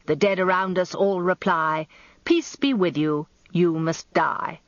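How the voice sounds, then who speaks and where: A woman's voice: fairly low pitch, a little slow, no room sound. A woman voicing a wry, grumbling old male barrister with a droll, ponderous manner in a radio story. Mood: sombre reciting. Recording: slightly dull, lo-fi radio recording, dry.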